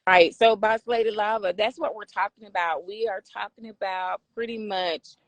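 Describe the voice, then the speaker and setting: Raspy lady hosting a talk show, lots of mm-hmms, dry sound. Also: medium pitch, steady tone, moderate pace, no echo. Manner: affirming, frequent agreement sounds. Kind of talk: casual discussion.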